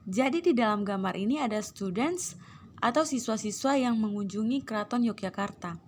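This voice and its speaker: A woman teacher with an Indonesian accent, hoarse from a cold, reading English captions slowly and explaining them, clearing her throat often. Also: on the high side, expressive, slight background noise. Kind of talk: online English lesson, reading then translating.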